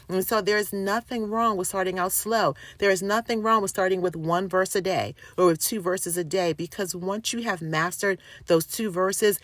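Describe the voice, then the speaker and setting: A woman's voice: fairly low pitch, no room sound. A middle-aged woman teaching a devotional lesson in an earnest, encouraging way, repeating key phrases. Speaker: Bible teacher.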